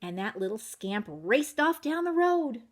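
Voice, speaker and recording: A woman reading a story to young children, expressive and addressing her listeners directly with questions. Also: a little high, a little slow. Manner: expressive, asks listeners questions, varies volume. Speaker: children's story reader, teacher.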